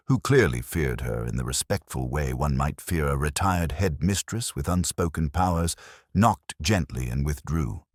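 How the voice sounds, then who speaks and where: A man playing a brooding, observant police inspector, narrating his case in a measured, understated, literary way for an audio drama. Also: very deep voice, dry recording, clean studio sound, exaggerated voice. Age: middle-aged. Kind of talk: scripted first-person detective narration, audio drama.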